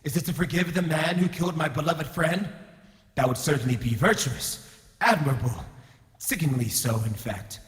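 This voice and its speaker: An actor playing a bitter, righteous male warrior in an anime fan dub, speaking in a solemn, deliberate, dramatic way. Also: fairly high pitch, lively intonation, fairly bright tone. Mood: righteous, brooding. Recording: echoey, long cavernous reverb.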